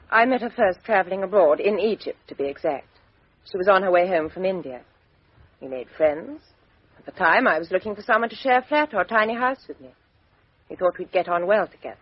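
Calm matter-of-fact witness, husky, dry dull old radio sound. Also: female voice, mid-range voice, brisk, no room sound. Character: composed young Englishwoman, victim's flatmate. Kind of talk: 1950s radio drama acting.

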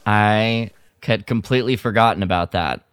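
A man's voice: lowish voice, normal speed, fairly bright tone, a little hiss or hum. A man chatting casually on a podcast.

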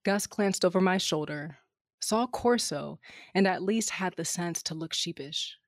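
A woman's voice: lowish voice, a little slow, no room sound, studio quality. A woman playing a brash, cynical smuggler captain, narrating an audio drama in a wry, flippant first person. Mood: matter-of-fact.

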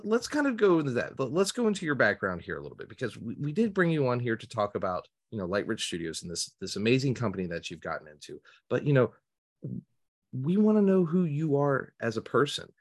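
A man's voice: mid-range voice, very animated, brisk. A man hosting a podcast in casual interview chat, with polite, joking banter. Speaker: podcast host.

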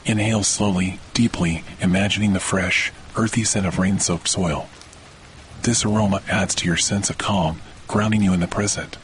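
Male meditation host, low register, calm and measured sleep narration, rain and thunder faintly behind. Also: a little hiss or hum, low-quality recording. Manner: calm, measured, scripted delivery. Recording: rainstorm and thunder under the voice. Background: steady rain and thunder.

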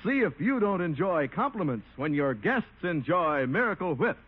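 A man's voice: on the high side, very animated, moderate pace. An American man reading scripted announcements and sponsor copy as a 1950s radio announcer. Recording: dull old radio recording, music behind.

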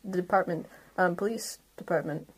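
A woman's voice: on the low side, moderate pace, crowd noise, rough recording. A woman chatting casually as a player in a tabletop role-playing game.

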